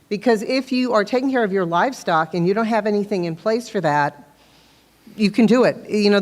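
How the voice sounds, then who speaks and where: Loud woman echoing through a hall. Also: fairly low pitch. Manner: loud, earnest, explanatory. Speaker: town board member explaining a bylaw. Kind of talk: public meeting explanation. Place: town meeting hall. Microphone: handheld microphone, sometimes held off-axis. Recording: long reverb of a meeting hall.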